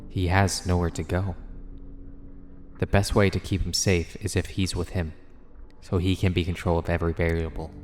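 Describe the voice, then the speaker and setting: A man's voice: sits low, slight background noise. A man reading scripted story narration as the narrator of an audio drama.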